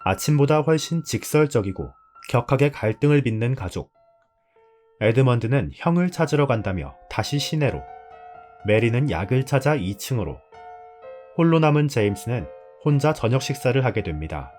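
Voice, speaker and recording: A man's voice: medium pitch, sing-song, moderate pace, a little mellow. A man narrating a drama's story in a scripted storytelling style. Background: background music.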